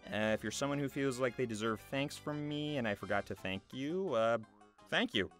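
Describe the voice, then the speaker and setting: A man's voice: mid-range voice, expressive, normal speed, a bit bright. A young adult man, a voice actor, speaking casually and earnestly to viewers in a slightly hesitant way. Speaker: voice actor and video creator. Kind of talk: casual thank-you address to camera, loosely scripted. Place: small foam-treated recording booth. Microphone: large studio microphone close by. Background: music.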